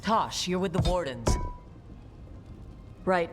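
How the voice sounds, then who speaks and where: A woman playing a resolute squad leader in a video game cutscene, giving firm, measured orders. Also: on the low side, expressive. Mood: resolute, commanding. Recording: long reverb, music behind.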